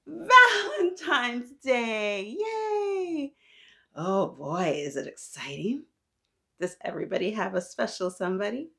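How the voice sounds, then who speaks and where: A woman's voice: fairly high pitch, gravelly, a bit bright. A woman in her thirties hosting a children's storytime, reading aloud expressively and chatting with young listeners as if they answer. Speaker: children's storytime host and reader.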